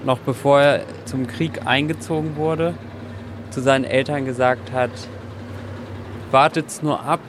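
A man's voice: mid-range voice, fairly even intonation, measured pace, long pauses. A man talking informally and reflectively, recorded outdoors. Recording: noisy outdoor urban ambience.

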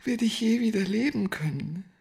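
An actress playing a grieving, despairing woman in a radio drama, murmuring haltingly and often whispering in a breathy voice. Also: on the high side, lively intonation, darkish tone, heavily acted. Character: despairing, grieving woman pleading in hollow anguish. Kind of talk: intimate radio drama monologue.

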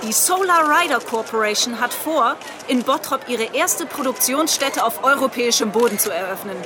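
A woman acting a calculating, polished mayor in a radio satire. Her voice is fairly high and she talks in formal statements over clear background noise. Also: lively intonation, normal speed, close to an everyday voice. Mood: self-satisfied. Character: smooth-talking, calculating female town mayor. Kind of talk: German satirical radio drama.

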